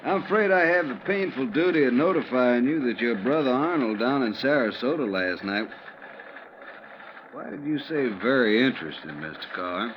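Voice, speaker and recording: An actor playing a skeptical, folksy police lieutenant with a drawl, in 1950s radio drama style. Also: male voice, medium pitch, lively intonation, moderate pace, lightly acted. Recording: muffled old radio broadcast with noise.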